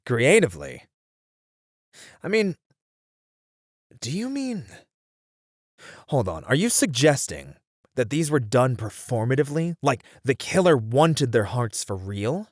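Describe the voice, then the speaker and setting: A man playing a driven detective who thinks aloud in halting phrases, in a dramatic ASMR roleplay. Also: mid-range voice, sing-song, no echo, studio quality. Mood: startled, intrigued. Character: driven male detective piecing together a serial killer case. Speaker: voice actor in a roleplay. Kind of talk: ASMR roleplay, dramatic one-sided dialogue.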